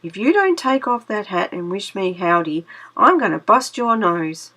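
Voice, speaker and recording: A woman voicing a cocky, wily male rabbit with a loud, folksy drawl. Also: a little high, animated, normal speed, voice pushed up. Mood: angry.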